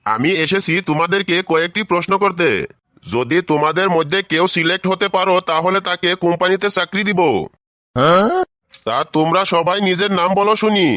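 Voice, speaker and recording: A man's voice: high-pitched, fairly quick, bright, forceful tone, no room sound, studio quality. A man voicing a fatherly but exasperated school official addressing children in a comedy cartoon, with exaggerated delivery. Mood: friendly. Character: exasperated adult man, schoolteacher and visiting company manager.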